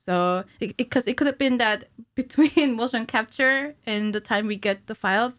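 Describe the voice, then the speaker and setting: A woman in her thirties speaking as a podcast guest, explaining technical work conversationally and a little haltingly, thinking aloud into a studio microphone. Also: on the high side, a bit bright, dry recording. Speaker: podcast guest, cinematic animator. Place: small indoor podcast studio. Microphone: studio microphone on a stand.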